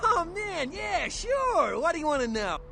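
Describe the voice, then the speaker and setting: An actor voicing an excitable, slangy young vampire's sidekick in a video game, eager to please and loud. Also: male voice, very high voice, measured pace, vocal fry, slight background noise, full character voice. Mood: excited, eager.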